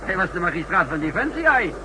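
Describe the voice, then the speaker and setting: Casting: eager, hesitant passenger, high lively voice, very noisy vintage radio recording. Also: male voice, quick pace. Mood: eager, halting. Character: hesitant male train passenger trying to join the banter. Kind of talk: 1960s radio drama acting. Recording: very noisy old radio, vehicle rumble.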